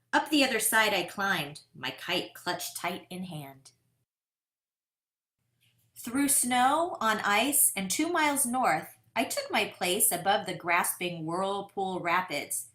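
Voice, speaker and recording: Woman reading as an eager boy storyteller, bright-voiced. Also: medium pitch. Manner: dramatic, deliberate storytelling. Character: eager, determined boy kite flyer telling his own tale. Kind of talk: expressive picture-book read-aloud. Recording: roomy, echoing sound.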